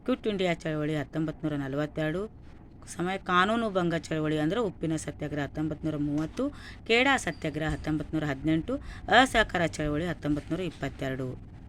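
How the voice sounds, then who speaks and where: A woman's voice: sits low, expressive, brisk, a little hiss or hum. A woman with a North Karnataka accent reading out exam questions and answers like a teacher, item by item. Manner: instructional, listing answers item by item.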